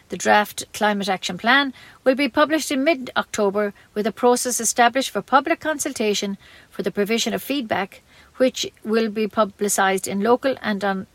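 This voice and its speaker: A woman reading a local community radio news bulletin in a steady voice, stumbling and restarting now and then. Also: mid-range voice, dry recording.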